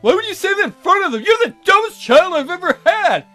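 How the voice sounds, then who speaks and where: A man's voice: very high-pitched, very animated, a little fast, sharp tone, faint music behind, exaggerated voice. A man voicing an exasperated, panicky rich father held hostage in a visual novel, whiny and indignant.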